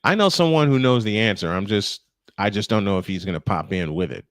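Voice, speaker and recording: A man's voice: fairly low pitch, expressive, fairly quick, no room sound, studio quality. A man doing live baseball play-by-play, mixing commentary with casual banter as host.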